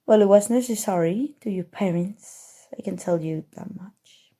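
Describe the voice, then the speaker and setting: A woman voicing a smug, teasing, coolly menacing evil sorcerer in an ASMR roleplay, speaking softly and deliberately with breathy drifts into whispering. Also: mid-range voice, natural voice. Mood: sly, measured. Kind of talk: ASMR roleplay, scripted character monologue.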